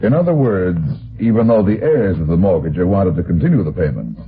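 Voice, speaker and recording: A man's voice: low-pitched, expressive, a little fast, slight background noise. A man playing a blunt, unruffled private investigator with terse, probing delivery in an old-time radio detective drama. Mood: probing, dry. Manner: terse, clipped, probing questions. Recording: tinny band-limited vintage radio broadcast.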